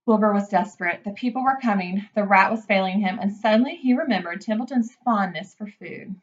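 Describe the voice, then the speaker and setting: A woman reading a children's book aloud as an expressive narrator. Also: medium pitch, fairly quick, highs cut off.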